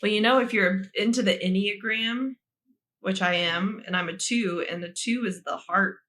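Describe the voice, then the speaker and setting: A woman co-hosting a podcast in casual banter, expressive, joking and candid about herself. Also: mid-range voice, normal speed. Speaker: podcast co-host having her cards read.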